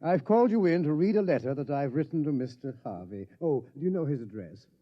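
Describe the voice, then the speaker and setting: An actor playing a nervous astronomer who stammers and gets flustered, in 1950s radio drama style. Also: male voice, a little high, lively intonation, fast talker. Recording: dull old radio recording.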